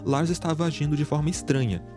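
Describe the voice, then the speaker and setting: A man's voice: fairly high pitch, fast. Man narrating a true-crime case over music. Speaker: narrator of the reacted video. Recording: music bed under narration. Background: background music.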